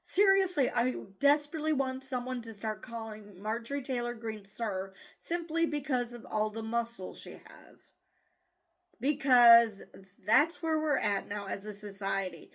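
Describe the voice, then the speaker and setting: Slow-talking, exasperated woman commentator. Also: on the high side, a bit bright. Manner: exasperated, blunt, swears freely; rambling, with many restarts and asides. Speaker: host of a news commentary show. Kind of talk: casual news commentary.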